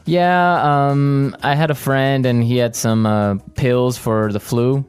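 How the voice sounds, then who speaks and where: A man co-hosting an English lesson podcast, chatting and repeating phrases slowly and clearly for learners. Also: mid-range voice, fairly even intonation, a little mellow, soft background music. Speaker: podcast co-host.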